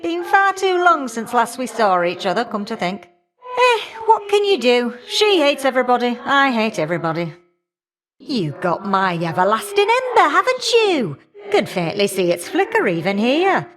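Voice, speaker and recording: An actress voicing a warm, feisty old great-aunt fire goddess for a video game, with folksy, teasing, old-fashioned talk. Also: female voice, a little high, sing-song, normal speed. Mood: wry, affectionate. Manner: folksy, teasing, old-fashioned turns of phrase, calling listeners dearie. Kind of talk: video game character acting.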